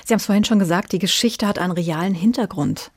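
A woman's voice: medium pitch, fast, dry recording, clean studio sound. A woman presenting a review programme, moving between interview questions and scripted announcements. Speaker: radio presenter.

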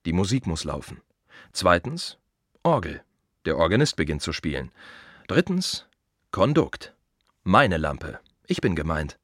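A man's voice: low-pitched, very animated, unhurried, long pauses, fairly bright tone, no room sound, clean studio sound, exaggerated voice. A man playing a plain-spoken gravedigger in a radio play monologue, musing wryly in short, repeated phrases. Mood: matter-of-fact. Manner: reflective, wry, clipped fragments with repeated phrases. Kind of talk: German radio drama monologue, conversational.

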